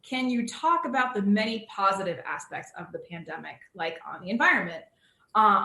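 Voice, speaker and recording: Bright-voiced American woman moderating, roomy echo. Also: mid-range voice. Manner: informal, hesitant, thinking aloud. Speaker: panel moderator. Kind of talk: casual moderating of a live video panel. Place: indoor room, on Zoom call. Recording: roomy echo over video call.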